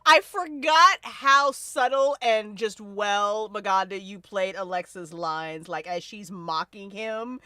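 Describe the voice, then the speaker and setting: A woman's voice: mid-range voice, slow, hardly any pauses, sharp tone, dry recording. A woman in casual live discussion as a podcast crew member, gushing and emphatic with plenty of swearing.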